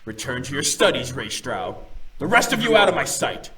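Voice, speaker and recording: A man's voice: medium pitch, sing-song, normal speed, crowd noise, natural voice, low-quality recording. An actor playing an aging, imperious demon commander and father in a visual novel, curt and stern. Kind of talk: visual novel character acting.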